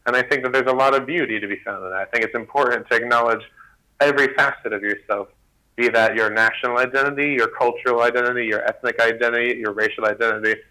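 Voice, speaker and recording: Fast, bright young man on video call. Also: medium pitch, fairly even intonation, low-quality recording. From United States. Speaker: guest.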